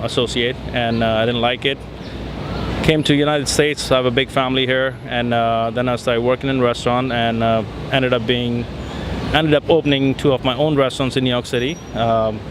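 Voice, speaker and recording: A man in his thirties from India with an Indian accent, answering interview questions outdoors in a city square, pausing often with fillers. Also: mid-range voice. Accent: Indian, Punjab. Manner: hesitant, many fillers. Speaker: interview guest. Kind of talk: casual interview. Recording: street traffic noise behind. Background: city traffic.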